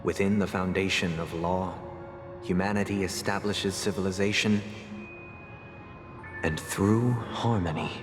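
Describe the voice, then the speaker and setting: A man voicing a solemn, regretful brother who has become a god, speaking slowly and gravely. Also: low voice, fairly even intonation. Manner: slow, grave, quietly regretful. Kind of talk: video game dub. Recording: echoey hall with music.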